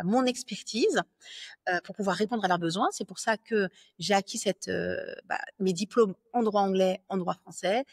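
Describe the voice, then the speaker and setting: A woman's voice: mid-range voice, no room sound, clean studio sound. A French woman in her thirties, a business lawyer, talking fluently and confidently in an interview, with frequent fillers and self-corrections. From France. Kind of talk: interview conversation.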